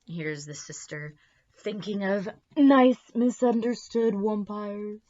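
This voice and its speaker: A woman reading a children's storybook aloud in an expressive narrating style. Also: mid-range voice, slow, lo-fi sound.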